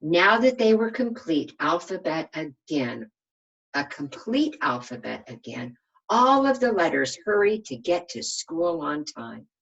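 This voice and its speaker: A woman's voice: sits low, very animated, measured pace, a bit bright, highs cut off. A woman reading a children's storybook aloud like a kindergarten teacher, expressively and with questions for her listeners.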